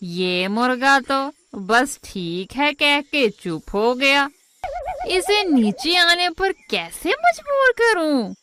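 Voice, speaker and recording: Cartoon vixen, played by a woman: high, swooping, sly and smooth-talking, drags out her words. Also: very animated, noisy background, full character voice. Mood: puzzled. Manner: wheedling, scheming, drawn-out words. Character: sly, hungry, smooth-talking female fox. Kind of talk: children's animated moral story acting.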